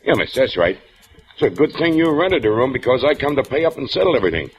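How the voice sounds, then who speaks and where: A shifty gangster henchman acted by a man in a vintage radio thriller. His slangy tough-guy talk swings widely in pitch, slippery and theatrical. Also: big pitch swings, fast talker, highs cut off, lightly acted. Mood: nervous, stammering. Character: shifty gangster henchman, stammering excuses, turning mockingly menacing. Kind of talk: old-time radio suspense acting. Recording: vintage radio drama recording.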